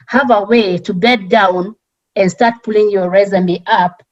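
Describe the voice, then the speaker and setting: A middle-aged woman from Kenya with a strong Kenyan accent, speaking earnestly as a guest on a community talk panel, often pausing and restarting. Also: mid-range voice, a little mellow, muffled recording.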